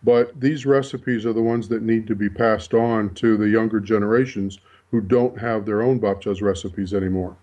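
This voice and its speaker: Man with a low, dull, distant sound. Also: steady tone, mellow. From Europe, raised in Canada and the US. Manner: measured, with "you know" fillers. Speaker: cookbook author, guest. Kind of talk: interview answers.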